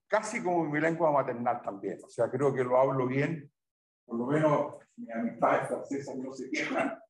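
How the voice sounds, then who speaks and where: A man with a Chilean accent, a university math professor chatting informally with his students and tagging his sentences with "¿ya?" and "¿ah?". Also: a little high. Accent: Chilean Spanish. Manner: conversational, tags sentences with "¿ya?" and "¿ah?". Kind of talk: informal chat with students during class. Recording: very echoey room, distant mic.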